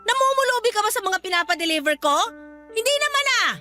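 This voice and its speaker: A woman's voice: extremely high pitch, expressive, fast talker, bright, forceful tone, soft background music, full character voice. A woman playing a bitter, domineering mother in a Filipino radio drama, scornful and accusing as she nags her daughter. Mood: scornful, reproachful.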